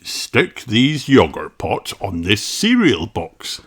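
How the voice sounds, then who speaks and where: A reader as an easygoing, slow daddy pig. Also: mid-range voice, huge pitch swings, fairly bright tone, breathy edge, full character voice. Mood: offhand. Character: easygoing, casual grown-up father pig. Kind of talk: storybook character voice.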